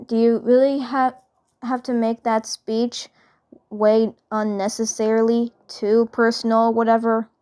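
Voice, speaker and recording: Woman playing a grumpy, slow-talking tough cartoon girl. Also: fairly high pitch, level tone, mellow, smooth, close to an everyday voice. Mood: annoyed. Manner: sarcastic, grumbling.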